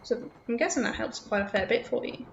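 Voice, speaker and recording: A woman's voice: a little high, fairly quick, vocal fry, echoey room, lo-fi sound, noisy background. A woman from the UK, raised partly in Australia, chatting casually with viewers as a game streamer in a nervous, rambling way. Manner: nervous, rambling, self-conscious. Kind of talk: casual chat with viewers while playing.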